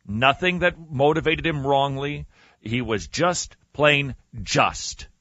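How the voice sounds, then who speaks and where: Male radio storyteller, lively and sharp, emphatic with dramatic pauses; dry, slightly muffled podcast sound. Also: mid-range voice, dry recording. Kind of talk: animated storytelling with reading and commentary.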